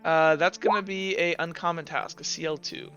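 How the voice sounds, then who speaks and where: Bright-voiced male game master, improvising. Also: fairly high pitch, fairly even intonation, normal speed. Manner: rambling, piecemeal descriptions. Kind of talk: improvised tabletop game narration. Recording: dull lo-fi sound, faint music behind.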